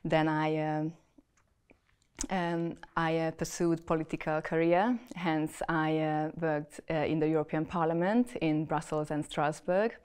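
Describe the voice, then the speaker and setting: Low smooth voice of a woman from Hungary. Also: fairly even intonation, darkish tone. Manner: careful, with frequent uh and um pauses. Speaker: property deal presenter. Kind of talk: pitching a deal to investors.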